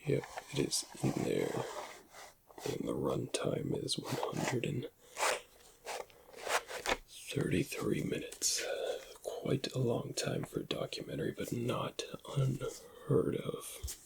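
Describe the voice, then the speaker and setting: Whispering man with heavy gravelly creak. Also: medium pitch, sing-song, a little hiss or hum. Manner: slow, hushed, lingering delivery. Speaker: ASMR roleplay performer. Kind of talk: whispered ASMR roleplay.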